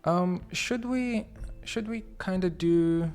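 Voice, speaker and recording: A man from Lithuania hosting a livestream, talking haltingly with many fillers and restarts as he reads the chat aloud. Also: high-pitched, darkish tone, smooth, faint music behind. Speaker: livestream host.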